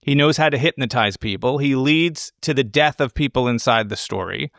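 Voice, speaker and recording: A man's voice: mid-range voice, normal speed, creaky, a bit bright, no room sound, studio quality. A man hosting a casual film discussion, thinking aloud in rambling, speculative explanations. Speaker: podcast host analyzing a film.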